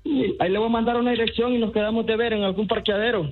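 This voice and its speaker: A man's voice: high-pitched, fairly even intonation, very fast, faint music behind. A man from Venezuela taking a prank phone call, dry and unbothered, firing wisecracks back. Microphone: phone line.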